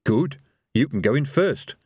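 A man's voice: mid-range voice, expressive, a little slow, a little mellow, husky, dry recording, pitched above the performer's own voice. A man voicing a nervy, cowardly fellow who is hesitant, dry and self-justifying, in a storybook reading. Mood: wry, anxious. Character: Rincewind, nervy cowardly man, wry and evasive.

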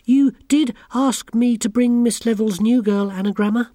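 Woman putting on a shy little witch girl, high and hesitant, kinda apologetic, dry close reading. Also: moderate pace, mellow, no echo, natural voice. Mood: timid. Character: nervous, eager young girl witch.

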